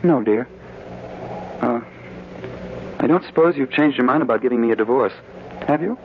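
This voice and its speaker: An actor with an American accent playing a brooding, smooth-talking, scheming husband in a 1940s-style radio drama. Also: male voice, mid-range voice, normal speed, lightly acted. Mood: falsely tender. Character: brooding, smooth-talking husband secretly plotting against his wife. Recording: muffled, noisy old radio broadcast recording.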